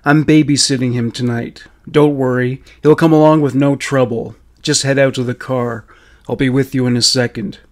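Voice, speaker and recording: A man's voice: medium pitch, fairly even intonation, normal speed, natural voice. A man voicing a frightened, obliging and protective sixteen-year-old girl with an anxious, pleading way of talking.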